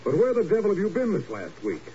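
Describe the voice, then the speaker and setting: An actor playing an irascible middle-aged intelligence bureau chief in a 1950s radio drama, brusque and commanding. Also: male voice, high-pitched, huge pitch swings, fast talker, dark tone. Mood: relieved, questioning. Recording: dull, very noisy old 1950s radio recording.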